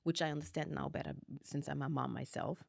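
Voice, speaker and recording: A low-voiced woman with a mixed German and Arabic-tinged accent tells her own story. Her voice has a gravelly fry and sits close to a dry mic. She fills the telling with likes and ums and acts out old exchanges with sudden lift. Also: brisk, dry recording. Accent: mixed German and Arabic-tinged non-native English. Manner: animated, acts out past dialogue, frequent likes and ums. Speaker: podcast guest. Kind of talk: personal storytelling.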